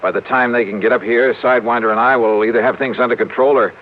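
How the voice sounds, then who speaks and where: An actor playing a tough, decisive American investigator in an old-time radio drama, brisk and in charge. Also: male voice, medium pitch, fairly even intonation, lightly acted. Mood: firm. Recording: muffled old radio recording, faint hiss.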